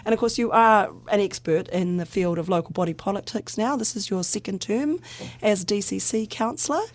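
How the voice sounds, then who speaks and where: A woman hosting a radio podcast, her voice a bit croaky; she chats loosely, laughs often and trails off mid-thought. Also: medium pitch, a little fast. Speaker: podcast host. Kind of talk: relaxed radio podcast conversation. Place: radio studio.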